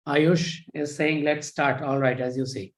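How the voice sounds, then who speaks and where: A man's voice: on the high side, steady tone, measured pace. Man teaching an online history class. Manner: reads out students' chat messages, many fillers.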